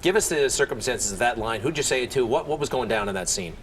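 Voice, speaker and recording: A man's voice: medium pitch, expressive, fast, a little hiss or hum. A man hosting a morning TV show, asking jokey, playful interview questions in a studio. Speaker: morning TV host.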